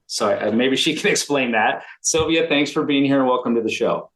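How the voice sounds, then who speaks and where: A man hosting a financial podcast, conversational and friendly with quick affirmations. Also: mid-range voice, fairly bright tone. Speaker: podcast host. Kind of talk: podcast interview.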